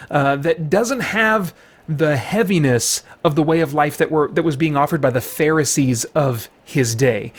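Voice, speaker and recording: A man who hosts a gaming and faith channel, talking in a casual commentary style as if thinking aloud to himself. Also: on the high side. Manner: talks to himself while playing. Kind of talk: casual gameplay commentary and devotional talk.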